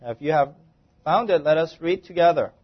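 A male church interpreter with a fairly high-pitched voice renders a sermon phrase by phrase. He sounds formal and halting, and the audio is dull and lo-fi. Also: husky.

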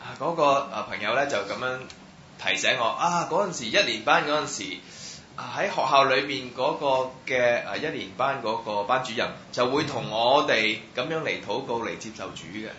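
A man interpreting a testimony line by line at church, halting with many fillers. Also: mid-range voice, lively intonation, pushed and bright. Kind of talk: live sentence-by-sentence translation. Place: church hall. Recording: roomy, muffled hall sound with faint noise.

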